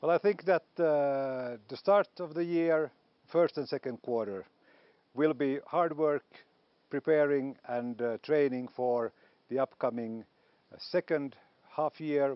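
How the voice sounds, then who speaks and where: A man's voice: mid-range voice, unhurried, no echo, highs cut off. A man from Finland with a Finnish accent, speaking hesitantly in an interview with frequent fillers. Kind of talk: interview statement.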